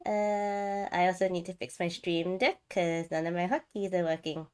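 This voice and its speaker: A woman's voice: lowish voice, moderate pace, smooth. A woman hosting a game livestream, chatting casually and rambling as she thinks aloud. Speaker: game streamer, host.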